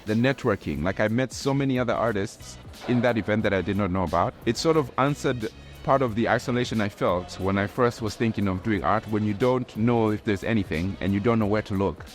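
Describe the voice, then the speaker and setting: A young man from Tanzania, a graphic artist, talking in a relaxed, reflective way with many restarts and fillers. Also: on the low side. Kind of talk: casual interview talk. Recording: faint music underneath.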